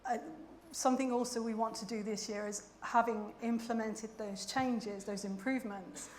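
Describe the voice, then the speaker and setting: A woman's voice: fairly high pitch, steady tone, normal speed, a little mellow, roomy sound, a little hiss or hum. A woman speaking as a manager on a conference panel, hesitant with many fillers. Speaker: global vendor manager. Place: conference hall.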